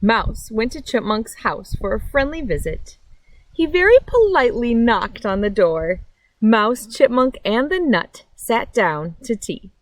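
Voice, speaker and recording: A woman's voice: fairly high pitch, big pitch swings, measured pace, poor audio. A woman chatting and reading a picture book aloud to children in a simple, friendly storyteller's way. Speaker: read-aloud host. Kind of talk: children's storybook read-aloud with casual chat. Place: outdoors by a meadow.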